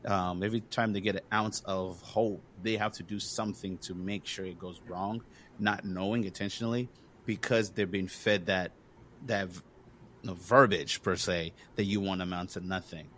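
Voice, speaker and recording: A man's voice: low-pitched, steady tone, moderate pace, a bit bright, a little hiss or hum. A man from Congo hosting a podcast, talking earnestly and encouragingly in casual conversation, with lots of fillers. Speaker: podcast host.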